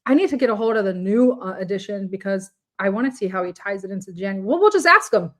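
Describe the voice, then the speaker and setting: A woman's voice: mid-range voice, expressive, fast, a bit bright. A woman co-hosting a casual political talk show, bubbly and enthusiastic, often laughing. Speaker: co-host.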